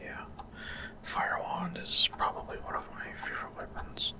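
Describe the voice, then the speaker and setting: A person whispering unscripted ASMR commentary over a video game, explaining things in a hesitant way. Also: a little slow.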